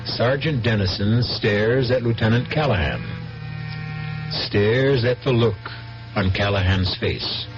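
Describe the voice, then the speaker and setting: A man hosting and narrating a 1970s radio mystery with deliberate, ominous delivery and dramatic pauses. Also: lowish voice, expressive. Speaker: host and narrator. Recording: muffled 1970s radio broadcast. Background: music.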